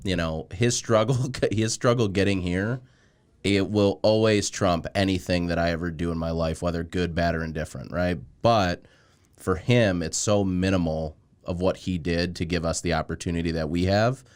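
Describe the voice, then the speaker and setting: Guy hosting a podcast, low smooth voice, super casual and supportive. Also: fairly even intonation, moderate pace. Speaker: podcast host.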